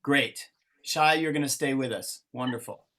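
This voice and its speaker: A man's voice: mid-range voice, normal speed, bright, forceful tone. A man facilitating an interactive improv exercise over a video call, explaining it step by step in an encouraging way. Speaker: workshop facilitator. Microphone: video call microphone.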